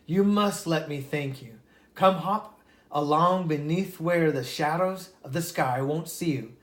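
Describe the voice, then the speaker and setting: A reader voicing a proud old male wolf, humbled and unable to smell, in slow, solemn storybook dialogue. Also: mid-range voice, reverberant, natural voice. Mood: grateful.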